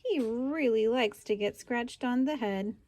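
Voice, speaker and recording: A woman's voice: a little high, measured pace, a little mellow, dry recording. A woman reading a children's book aloud expressively, adding chatty asides to young listeners. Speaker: storybook reader.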